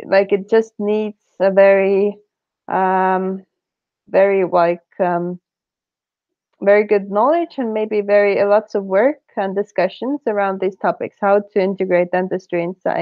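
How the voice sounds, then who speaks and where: A woman's voice: mid-range voice, no room sound. A woman speaking hesitantly, with many fillers and restarts, as a panelist in a casual online discussion. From Estonia. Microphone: video call. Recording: dry, phone-like video-call audio.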